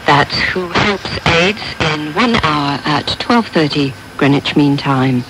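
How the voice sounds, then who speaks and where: A man's voice: fairly high pitch, expressive, takes it slowly, over music. A man reading scripted continuity announcements as a radio announcer. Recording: noisy medium-wave radio broadcast.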